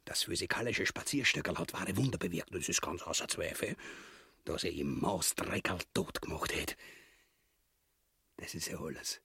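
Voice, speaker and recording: Pompous cowardly tyrant, cavernous reverb. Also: male voice, sits low, animated, moderate pace, gravelly, bright, forceful tone, husky, full character voice. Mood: cowardly muttering. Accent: southern German-Austrian dialect. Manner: pompous, blustering, mock-solemn. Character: bombastic, cowardly, boastful petty-bourgeois tyrant, grotesque. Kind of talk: grotesque comedy radio play. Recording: long reverberant hall sound.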